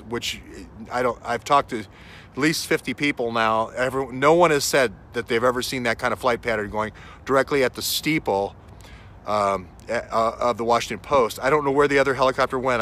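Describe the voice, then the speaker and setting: Male street vlogger, bright mid-pitched voice, emphatic stop-start improvised commentary, light urban noise behind. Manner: halting, emphatic, repeats phrases for stress. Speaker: vlogger, self-styled investigator. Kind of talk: unscripted outdoor vlog monologue, speculative commentary. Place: outdoors on a city street. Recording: outdoor street noise with traffic. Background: faint urban ambience and vehicles.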